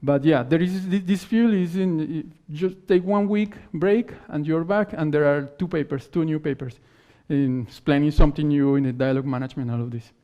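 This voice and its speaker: Man speaking non-native English, halting. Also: on the high side, mellow. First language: not English. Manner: explains in halting phrases, often restarting words. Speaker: conference speaker. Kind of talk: technical talk and Q&A answers. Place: conference hall stage. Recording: dull lo-fi conference recording.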